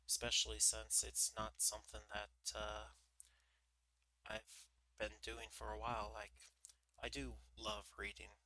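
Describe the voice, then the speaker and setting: Guy streamer chatting between readings, slow and rambly, lots of ums, bright voice, desk mic. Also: no room sound, poor audio. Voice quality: naturally higher voice than he looks. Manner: rambling, self-deprecating, many fillers. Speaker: VTuber book-reading streamer. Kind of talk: casual chat during an ad break.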